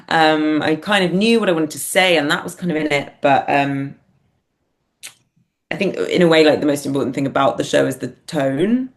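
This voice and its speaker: A woman's voice: sits low, moderate pace. A woman talking casually and reflectively as an interview guest, hesitant and self-deprecating as she thinks aloud. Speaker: podcast guest, writer and performer.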